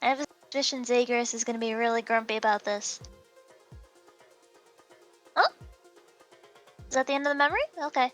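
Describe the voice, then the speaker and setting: A woman's voice: a little high, brisk, pauses often, a bit bright. A woman chatting casually as she runs a livestream. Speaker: live streamer. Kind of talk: casual stream chat. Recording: music playing behind the voice. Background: music.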